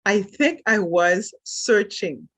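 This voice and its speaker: A middle-aged woman from Haiti whose first language is French, telling her life story as a podcast guest in a thoughtful way, with soft laughs between thoughts. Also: mid-range voice, expressive, takes it slowly, husky. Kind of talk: reflective personal storytelling.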